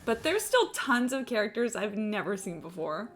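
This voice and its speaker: A woman's voice: mid-range voice, big pitch swings, normal speed, fairly bright tone, a little hiss or hum. A woman chatting casually on a gaming livestream in a rambling, sweary, self-deprecating way. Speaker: live game streamer. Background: game music.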